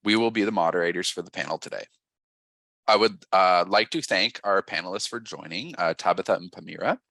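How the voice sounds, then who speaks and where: A man's voice: deep voice, brisk, vocal fry, sharp tone, no echo. A man moderating a panel discussion, reading the introduction hesitantly with many fillers. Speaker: panel moderator, policy researcher.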